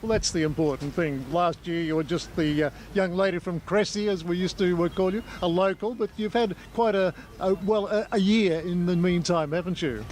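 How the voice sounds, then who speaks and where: A man's voice: high voice, normal speed, few pauses, darkish tone. An Australian man working as a sports reporter, chatting through an interview on location outdoors. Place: outdoors at a golf course. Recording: noisy windy outdoor location.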